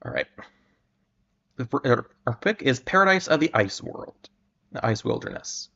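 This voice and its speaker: A man's voice: mid-range voice, big pitch swings, moderate pace, creaky, a bit bright. A man hosting a livestream, chatting casually to viewers in a hesitant, rambling way and often interrupting himself. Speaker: livestream host. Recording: dull, lo-fi microphone sound.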